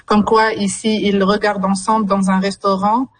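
A young woman, speaking as a journalist guest in an interview, with many pauses and fillers. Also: mid-range voice, level tone, faint music behind. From Morocco. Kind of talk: radio interview.

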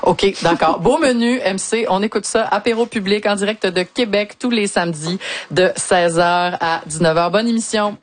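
A woman's voice: mid-range voice, moderate pace, no echo. A woman with a Quebec French accent hosting a casual, chatty live radio show.

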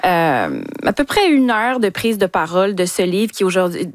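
A woman's voice: medium pitch, big pitch swings, normal speed, dry recording, clean studio sound. Hesitant woman radio columnist. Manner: thoughtful, hesitant, many fillers and restarts. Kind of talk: casual radio panel chat.

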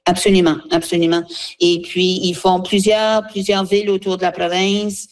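A woman's voice: fairly low pitch, little pitch movement, normal speed. A woman, explaining earnestly as an association representative in a spontaneous interview.

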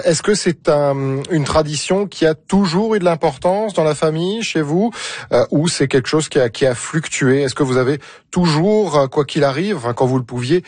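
A man's voice: a little high, darkish tone, no room sound. A man hosting a conversational radio panel, putting questions to guests. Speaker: radio host.

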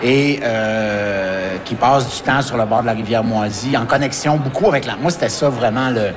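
A man in his fifties with a Québécois accent, a writer and forest firefighter talking in a radio interview, halting with many restarts and fillers. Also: mid-range voice, background noise. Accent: Québécois French. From Quebec.

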